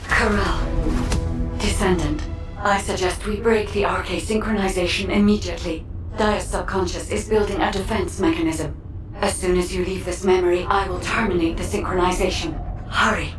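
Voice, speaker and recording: An actress playing a brisk, composed mission guide in a video game, precise and urgent. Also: female voice, on the low side, fairly even intonation, lightly acted. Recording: echoey, distant, music behind.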